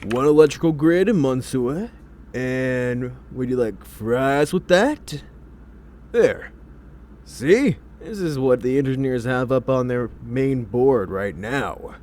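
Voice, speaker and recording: A man's voice: medium pitch, lively intonation, slow pace, a little mellow. A man voicing a cocky, slangy young computer hacker in a comic dub, glib and joking. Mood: smug, joking. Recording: clear noise, keyboard typing behind.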